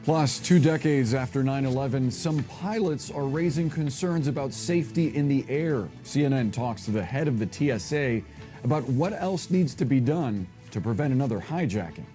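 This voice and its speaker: A man's voice: mid-range voice, lively intonation, normal speed, over music. An American man presenting broadcast news as an anchor, reading scripted links and interviewing guests.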